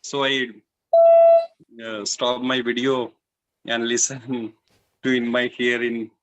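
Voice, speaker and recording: A man's voice: mid-range voice, flat delivery, takes it slowly, noisy background. A man from Pakistan, a first-time participant speaking in hesitant, broken English from a phone on a video call. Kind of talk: casual chat.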